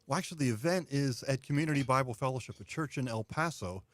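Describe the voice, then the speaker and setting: A man's voice: mid-range voice, quick pace, a bit bright, no room sound. A man as a radio studio guest, conversationally giving helpful, informative event details. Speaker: interview guest, event organizer. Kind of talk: conversational announcement.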